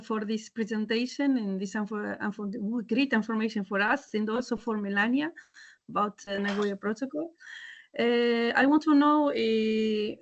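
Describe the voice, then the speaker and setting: A woman from Tunisia, a workshop participant, asking polite, hesitant questions. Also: a little high. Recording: dull lo-fi audio.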